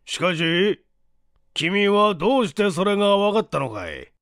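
A man voicing a gruff, forgetful old male professor with blustering, old-fashioned speech in an audiobook mystery. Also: sits high, big pitch swings, measured pace, fairly bright tone, dry recording, studio quality, exaggerated voice. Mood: gruff, astonished.

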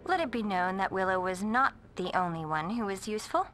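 A woman's voice: mid-range voice, big pitch swings, a little fast, a bit bright, soft background music. An actress playing a vain, sarcastic popular teenage girl in a video game, snippy and self-satisfied. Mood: smug, sarcastic.

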